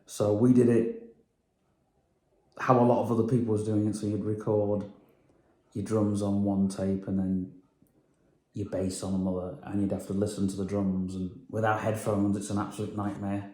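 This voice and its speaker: A man's voice: fairly low pitch, flat delivery, moderate pace, mellow, roomy sound. A man chatting casually on his vlog, rambling and hesitant with lots of fillers. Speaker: vlogger. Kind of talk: casual chat.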